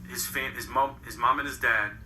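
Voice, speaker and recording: A man's voice: medium pitch, fairly even intonation, moderate pace, sharp tone, echoey room, far from the mic, slight background noise, poor audio. A man in his thirties from Connecticut, telling his story in a rambling, casual way full of slang. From Connecticut, USA. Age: 30s. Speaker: guest. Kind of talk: casual interview.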